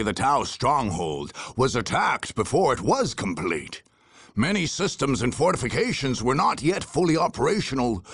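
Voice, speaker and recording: Gravelly, solemn war chronicler. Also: male voice, mid-range voice, no echo. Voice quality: gravelly, husky. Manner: solemn, dramatic, ominous. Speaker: narrator. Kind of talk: scripted dramatic narration for a video game. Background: faint music.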